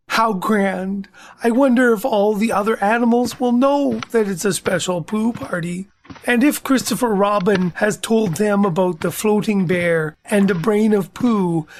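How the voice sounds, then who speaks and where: A woman's voice: mid-range voice, close to an everyday voice. An actor, a woman, playing a naive, self-important, food-loving bear in a children's audio drama, musing in a hesitant singsong. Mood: hopeful, anxious.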